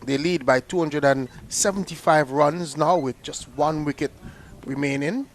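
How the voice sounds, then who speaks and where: A man with a slightly raised pitch calls a cricket match live, chatty and loose, stumbling into repeats like "they, they" as he goes. His talk flows like relaxed booth commentary. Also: moderate pace, slight background noise. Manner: chatty, often repeats words when restarting.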